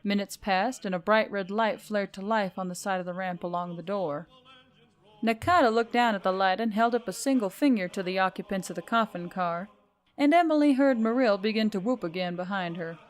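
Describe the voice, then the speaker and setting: A woman reading a story aloud as an expressive narrator. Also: medium pitch, fairly quick. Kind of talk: expressive audiobook narration of fiction.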